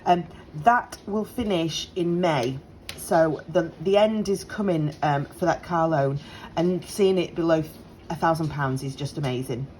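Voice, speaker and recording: A slightly croaky British woman in her late thirties talks through her money update in a relaxed, chatty way, full of ums and little apologies. Her voice sits a touch low. A faint hum of household appliances runs underneath. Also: a little slow, hardly any pauses, rough recording. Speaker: budgeting vlogger. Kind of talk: casual direct-to-camera update. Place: home on a Sunday morning. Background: dishwasher and washing machine running.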